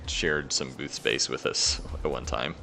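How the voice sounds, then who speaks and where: A man's voice: very deep voice, fairly even intonation, measured pace, gravelly, sharp tone, soft background music. A man in casual conversation, speaking hesitantly and correcting himself as he goes. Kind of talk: casual gaming chat.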